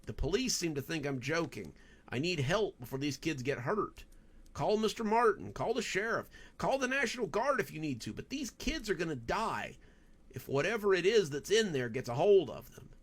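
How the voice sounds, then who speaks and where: Man as urgent young hero, raised pitch. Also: very animated, normal speed, husky. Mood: pleading. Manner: pleading, insistent.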